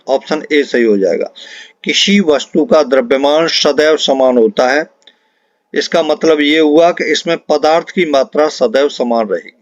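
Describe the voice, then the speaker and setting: A man's voice: a little high, measured pace, dry recording, dull audio. A man teaching an exam-prep class, explaining quiz questions to students in an instructive way and repeating key phrases for emphasis.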